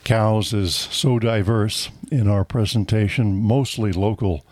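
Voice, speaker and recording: An older man reading a sponsor announcement and chatting on community radio, steady when reading and halting when speaking off the cuff. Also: on the low side, no room sound. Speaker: radio station regular and announcer. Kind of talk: underwriting announcement and on-air chat. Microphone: studio microphone.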